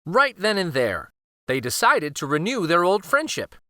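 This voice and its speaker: Animated, bright-voiced male children's storyteller. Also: sits high, moderate pace, no echo, clean studio sound. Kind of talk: scripted storybook read-aloud narration.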